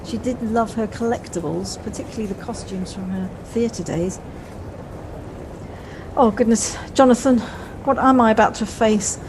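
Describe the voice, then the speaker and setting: A woman in an audio play voicing a brisk, fretful, well-spoken wife with a wry, slightly snobbish manner, recorded at home on a personal device. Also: on the high side, noisy background, lightly acted. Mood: anxious, fond.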